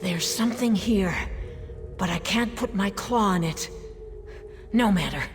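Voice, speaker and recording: A woman's voice: medium pitch, measured pace, close to an everyday voice. A pensive female lizard creature from a video game, acted by a woman. She questions and wonders uneasily, her voice trailing long reverb over faint music. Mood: pensive, uneasy. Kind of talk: video game character acting.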